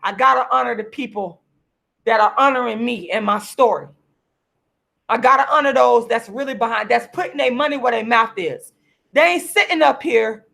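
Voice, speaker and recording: Hoarse, gravelly-voiced woman ranting heatedly. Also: a little high, normal speed. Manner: heated, repetitive, preachy, now and then shouting. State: losing her voice, hoarse. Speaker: vlogger and activist. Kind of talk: impassioned direct-to-camera rant. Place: seated at home signing books.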